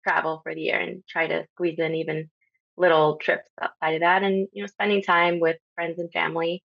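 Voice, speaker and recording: A woman in her thirties from Honduras, a podcast guest talking casually with many pauses and ums. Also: low-pitched, level tone, vocal fry, no echo. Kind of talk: casual interview talk. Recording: slightly dull lo-fi audio.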